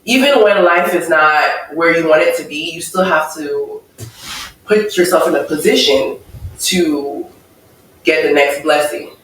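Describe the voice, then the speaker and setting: A woman guest talking about her life, earnest and thoughtful, pausing between her thoughts. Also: low voice, fairly bright tone, a little hiss or hum. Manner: earnest, thoughtful, measured with pauses. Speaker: interview guest. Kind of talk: reflective personal testimony. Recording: echoey room, mic far off.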